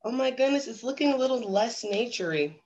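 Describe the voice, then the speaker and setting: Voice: mid-range voice, lively intonation, fairly quick, dull audio, noisy background. A woman reading a children's storybook casually, with playful, theatrical asides to the audience. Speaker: drag storytime guest reader. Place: video stream in front of a green screen.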